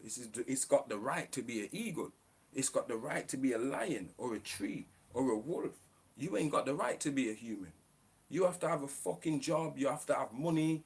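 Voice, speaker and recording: A man's voice: medium pitch, breathy edge. A man ranting on a live stream, in a profane, preachy, fired-up way.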